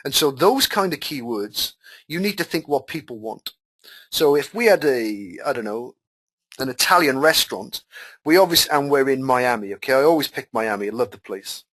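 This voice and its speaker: A man whose first language is Welsh runs a live online class, reading questions aloud and answering them at length. He talks in a chatty way and repeats his points, and his pitch sits in an ordinary middle range. It sounds like he is on a computer mic during a call. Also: lively intonation, normal speed, a bit bright, low-quality recording. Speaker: webinar host and marketing coach. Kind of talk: live webinar Q&A.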